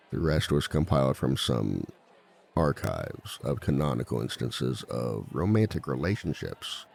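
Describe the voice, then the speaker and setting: A man playing a reformed villain who lectures at a seminar on romance in a measured, articulate, formal and dryly self-assured way. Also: a bass voice, gravelly, a little mellow, exaggerated voice. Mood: composed, formal. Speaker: seminar speaker and relationship expert. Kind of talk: scripted comedic lecture roleplay.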